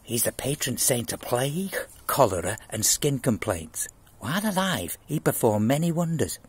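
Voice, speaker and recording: An earnest schoolboy in a storybook, acted out by an older man. He is pious and eager, reciting facts with great seriousness. The voice is husky and moves up and down a lot. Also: medium pitch, sing-song, moderate pace. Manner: earnest, solemnly informative.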